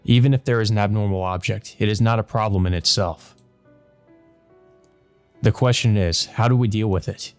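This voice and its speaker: A man reads the part of a woman wizard who mentors a child in a visual novel. The delivery is calm, confident and reassuring, like a lesson being given. Music runs behind it. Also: fairly low pitch, normal speed, pauses often, clear, resonant tone, natural voice. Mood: calm instructing.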